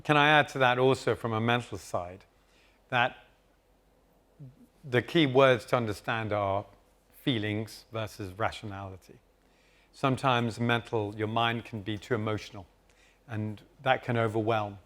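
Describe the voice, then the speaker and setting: A man hosting and moderating a live conference session, giving calm, explanatory advice from the stage. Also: fairly low pitch, unhurried, long pauses. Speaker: host and moderator.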